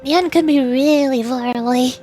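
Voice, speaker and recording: Female game streamer, high voice, chatty and playful, casual live commentary over music. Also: normal speed, mellow. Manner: playful, chatty, with silly sound effects. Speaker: streamer playing a video game.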